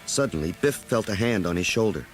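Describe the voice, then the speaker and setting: A man with a fairly low voice narrates a children's storybook. The delivery is scripted read-along storytelling, with music clearly playing underneath. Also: expressive, brisk.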